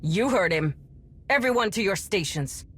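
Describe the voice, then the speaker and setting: A woman's voice: mid-range voice, lively intonation, moderate pace, a little hiss or hum, natural voice. An actress playing a resolute, commanding female rebel leader in a cinematic video game. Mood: zealous, commanding.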